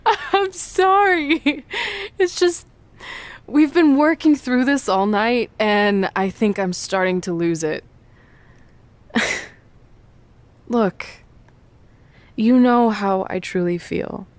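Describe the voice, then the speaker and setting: A woman's voice: fairly high pitch, slow, pauses often, no room sound. An actress playing a remorseful young woman wrestler and close friend, in sincere, conversational video game cutscene dialogue. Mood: teasing, giddy.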